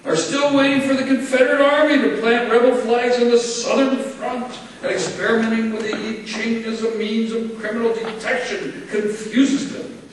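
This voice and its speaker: A man giving a theatrical spoken-word poetry reading in a church, with emphatic phrasing and dramatic pauses. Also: extremely high pitch, fairly even intonation. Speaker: poet reading aloud at a poetry event. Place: large church interior, live reading. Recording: very echoey church hall, far-off mic, faint noise.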